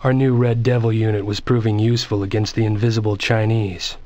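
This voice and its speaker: A man's voice: on the low side, little pitch movement, fairly quick, noisy background, lightly acted. An actor playing a weary soldier, narrating his platoon's war in retrospect in a scripted game cutscene. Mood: somber, reflective. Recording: dull lo-fi game audio.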